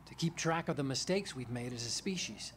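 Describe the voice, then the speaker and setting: Brief: actor as a calm, explanatory game ally, mid-range voice. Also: male voice, medium pitch, a little hiss or hum, natural voice. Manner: measured, explanatory. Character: earnest male engineer ally, explanatory.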